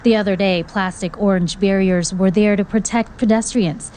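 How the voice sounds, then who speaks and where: A woman's voice: medium pitch, fairly even intonation, normal speed, a little mellow, a little hiss or hum. An American woman narrating a scripted television news report as a field reporter.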